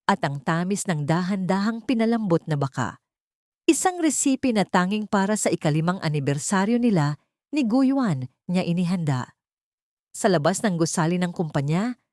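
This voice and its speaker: A woman's voice: medium pitch, a little mellow, no echo, studio quality. A woman narrating a scripted emotional story as a dramatic storyteller.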